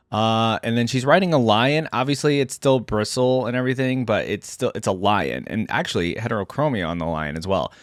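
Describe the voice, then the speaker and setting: A man's voice: medium pitch, no echo, clean studio sound. A man giving casual, off-the-cuff reaction commentary as a video host, rambling informally as he thinks aloud.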